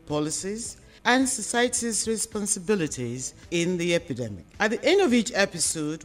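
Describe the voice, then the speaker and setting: A Nigerian-accented woman presents a scripted introduction in a formal, deliberate manner. Her low voice moves widely in pitch, with faint music underneath. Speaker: presenter and writer.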